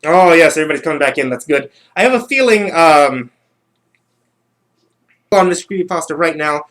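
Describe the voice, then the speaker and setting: A man with a slightly high voice is chatting as the host of a livestream. He talks casually and rambles, doubling back over his own phrases. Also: expressive, moderate pace, a bit bright. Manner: rambling, repeats himself.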